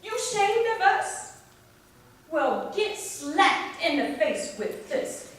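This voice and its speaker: Through a very echoey, distant recording comes a woman acting an indignant American aunt in a stage play. Her voice is very high and her delivery slow, folksy and theatrical as she lays down the law. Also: sing-song, fairly bright tone, full character voice. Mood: scolding. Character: indignant, melodramatic aunt, a domestic maid scolding her nephew. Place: staged reading in a reverberant room. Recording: echoey room, distant mic, noisy.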